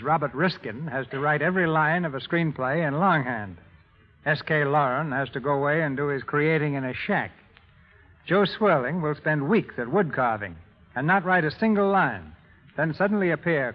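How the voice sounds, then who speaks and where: A man hosting and narrating a scripted 1930s radio show in a formal, measured announcer's delivery, on a muffled old broadcast. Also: on the high side.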